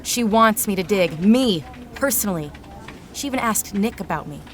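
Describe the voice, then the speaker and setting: A woman's voice: mid-range voice, animated, moderate pace, background noise, close to an everyday voice. An actress playing a hard-drinking, wisecracking, world-weary female private eye in a noir detective audio drama. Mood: insistent, pleading. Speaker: lead actor in a science fiction noir audio drama.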